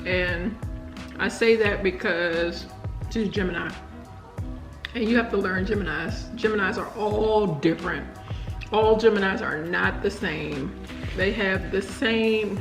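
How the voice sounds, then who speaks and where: A woman in her late thirties chatting casually and with opinions while eating, as a guest on a food video. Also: mid-range voice. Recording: echoey room, music behind. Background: light background music.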